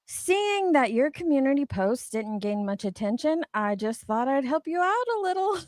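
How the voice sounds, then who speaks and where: A woman's voice: sits high, big pitch swings, moderate pace, darkish tone, no echo, clean studio sound. A woman co-host giving playful, sweary dramatic readings of online comments, with banter in between.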